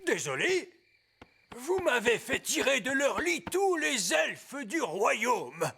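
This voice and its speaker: A performer in a French cartoon dub playing a pompous, fussy, indignant old male elf with a husky voice. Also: medium pitch, sing-song, slow pace, bright, forceful tone, full character voice.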